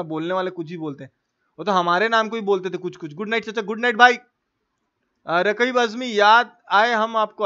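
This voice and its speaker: High-pitched, bright-voiced young male streamer. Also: lo-fi sound. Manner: chatty, informal slangy talk, coaxing viewers. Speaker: gaming livestreamer. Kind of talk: casual livestream chat with viewers.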